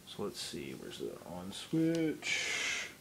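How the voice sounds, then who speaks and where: A hoarse young man in his twenties, casually explaining a home project while filming on a handheld phone, with lots of ums. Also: low voice, huge pitch swings, slow pace, sharp tone, slight background noise. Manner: rambling, many ums, explaining while handling gear. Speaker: hobbyist showing a Halloween haunt build. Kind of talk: casual filmed walkthrough. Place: room in a home, indoors. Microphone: handheld phone camera.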